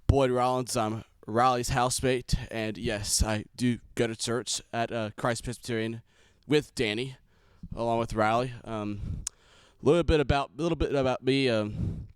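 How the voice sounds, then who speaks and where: A man's voice: mid-range voice, fairly even intonation, moderate pace, smooth, no echo. A man in his twenties from Tennessee, casually introducing himself as a guest. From Nashville, Tennessee. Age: 20s.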